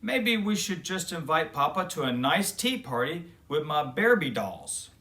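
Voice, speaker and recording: Guy reading a picky little sister bear in a kids book, matter-of-fact, a bit high. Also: very animated, unhurried, pushed and bright, far from the mic, heavily acted. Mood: questioning, picky. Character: picky young girl bear cub. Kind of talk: children's storybook reading.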